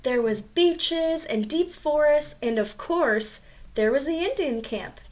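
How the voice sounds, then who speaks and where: Lady doing kids read-aloud, highish voice, expressive, chats about the pictures. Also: takes it slowly. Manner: expressive, addressing young children. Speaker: storybook reader.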